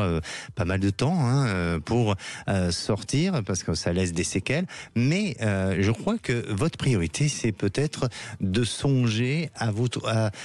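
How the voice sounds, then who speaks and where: A man's voice: on the low side, no room sound. Hesitant, reassuring radio psychic man. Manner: hesitant, many "euh" fillers, gentle reassuring. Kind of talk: live call-in consultation.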